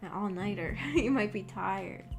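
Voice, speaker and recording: A woman streamer talking casually to her viewers in a rambling, hesitant way, thinking aloud as she goes. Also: mid-range voice. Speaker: VTuber streamer chatting with viewers. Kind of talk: casual livestream chat. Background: background music.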